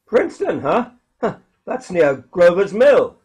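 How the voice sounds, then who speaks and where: An actor playing a jumpy, defiant militiaman surviving alone, with a folksy, halting, agitated delivery in a radio play. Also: sits high, sing-song, a little mellow, slightly rough, exaggerated voice. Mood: wary, jumpy. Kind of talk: dramatic radio play dialogue.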